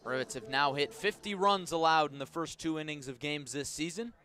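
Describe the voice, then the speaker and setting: A man doing live radio baseball play-by-play as the announcer, measured between pitches and lifting into excited calls on the action. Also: faint music behind. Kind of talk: live radio sports commentary.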